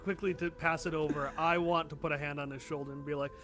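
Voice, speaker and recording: A man's voice: on the high side, little pitch movement, fast, a bit bright, faint music behind. A man casually describing his character's actions as a player in a tabletop game. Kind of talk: casual improvised role-play narration.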